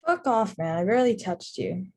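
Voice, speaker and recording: A woman plays a mouthy, combative paramedic at a drama table read. Her voice is husky and mid-pitched, jumping about with lots of expression. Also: big pitch swings, normal speed, darkish tone.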